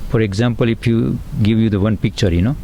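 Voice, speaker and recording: Low, mellow Indian-accented man explaining. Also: fast talker, a little hiss or hum. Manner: earnest and explanatory, frequent "uh" and "right, right". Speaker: pathologist guest. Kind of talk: explanatory interview answers.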